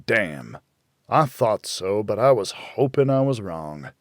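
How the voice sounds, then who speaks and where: A man's voice: fairly low pitch, very animated, takes it slowly, dry recording. Man voicing a blunt, folksy buddy, dry recording. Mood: gruff, friendly. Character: blunt, folksy, caring male friend of the loner. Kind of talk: hardboiled audiobook character dialogue.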